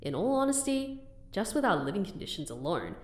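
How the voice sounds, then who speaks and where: A woman playing a composed, wry undercover agent who speaks and narrates in a measured way for an audio drama. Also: medium pitch, sing-song, echoey room, close to an everyday voice. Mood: wry, narrating.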